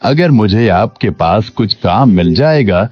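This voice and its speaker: Cartoon story role: poor, earnest male laborer played by a man, deferential and animated, slightly dull recording. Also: lowish voice, measured pace. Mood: pleading. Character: humble, hardworking poor village laborer man. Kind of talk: Hindi animated moral story dialogue.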